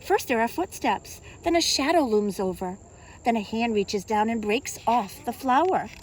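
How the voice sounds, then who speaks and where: A woman reading a picture book aloud to young children as a kindergarten teacher, in an expressive, teacherly way. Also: on the high side, moderate pace, a little hiss or hum, rough recording. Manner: expressive, simple teacherly delivery with questions to young listeners.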